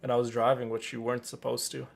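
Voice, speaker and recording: A man's voice: mid-range voice, completely flat delivery, fast, gravelly, slight background noise. A man from Canada chatting casually as a streamer, joking and rambling over gameplay.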